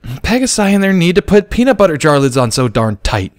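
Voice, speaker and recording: A man voicing an excitable, panicky teenage unicorn mare in a dramatic storybook reading. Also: fairly high pitch, brisk, voice pushed up. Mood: exasperated.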